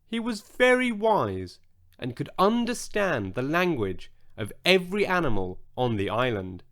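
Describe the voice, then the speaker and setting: A man narrating a children's bedtime story in a measured, expressive storytelling manner. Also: a little high. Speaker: audiobook narrator.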